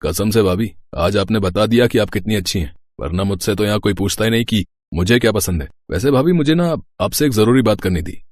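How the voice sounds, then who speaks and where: A man's voice: lowish voice, a little fast, few pauses, a little mellow, no echo, studio quality, lightly acted. A man playing a mischievous young village brother-in-law in a storybook tale, teasing and pleading. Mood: pleading, earnest. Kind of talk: storybook acting.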